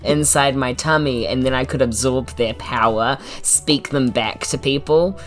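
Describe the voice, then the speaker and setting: A woman's voice: very deep voice, a little slow. A woman with a New Zealand accent, a tabletop game player who trades jokey, giggly banter. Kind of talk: casual chat at a tabletop game.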